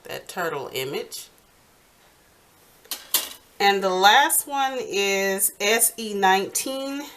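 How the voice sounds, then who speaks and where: A woman hosting a nail art tutorial in casual, step-by-step talk, halting and pausing mid-sentence. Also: lowish voice, a bit bright.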